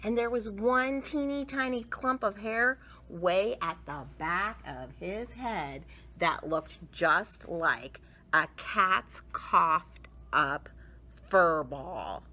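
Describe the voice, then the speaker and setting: A woman reading a picture book aloud to children in a theatrical way, with dramatic emphasis and drawn-out words. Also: medium pitch, sharp tone. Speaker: children's story reader.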